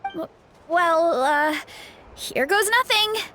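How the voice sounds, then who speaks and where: A modest young heroine in a video game. Her voice is high and expressive, earnest and a little hesitant, with faint music behind. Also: slow, exaggerated voice.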